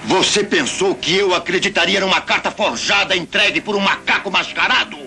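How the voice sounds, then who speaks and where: Scornful, high-pitched ape general. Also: male voice, fairly quick, vocal fry, over music. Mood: scornful, accusing. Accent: Brazilian. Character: hostile, commanding ape general, male, scornful and defiant. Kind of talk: dramatic dubbed TV series acting.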